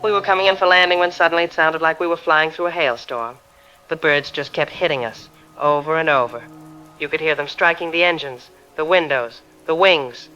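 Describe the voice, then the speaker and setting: An actor playing a shaken airline passenger giving a tense, emphatic eyewitness account in an audio drama. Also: male voice, fairly high pitch, a little fast, bright, forceful tone, clean tone. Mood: frightened. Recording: slightly dull sound, music behind.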